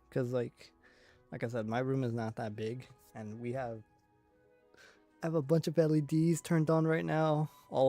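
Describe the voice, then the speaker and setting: An ordinary mid-pitched man chats his way through a game stream. His commentary is informal and slangy, drifting into rambling asides, with music faintly behind him in a small bedroom. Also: warm tone. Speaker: game livestreamer. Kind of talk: casual gameplay commentary, reading dialogue aloud.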